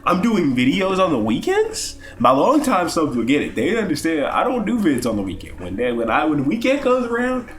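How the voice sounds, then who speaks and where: A man's voice: fairly high pitch, wildly swooping pitch, fairly quick, hardly any pauses. A young man with a cold, sniffling as he gives a casual, rambling, profane game review with lots of stammered restarts. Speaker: gaming YouTuber.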